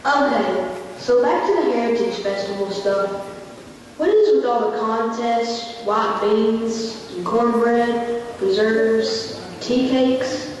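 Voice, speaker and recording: A woman's voice: on the high side, takes it slowly, a little mellow. A woman playing a skeptical, cheeky young child in a puppet show, asking blunt, casual questions. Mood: curious, skeptical. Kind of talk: scripted puppet show dialogue. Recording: echoey hall from far off, faint noise.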